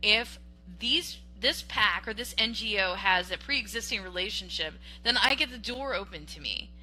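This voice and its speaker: A woman with a very bright, ringing voice argues her point on a podcast. She talks emphatically and animatedly, often restarting mid-sentence and checking in with "okay?". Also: mid-range voice, expressive, normal speed, soft background music, low-quality recording. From Western Washington. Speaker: podcast co-host. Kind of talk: casual political debate.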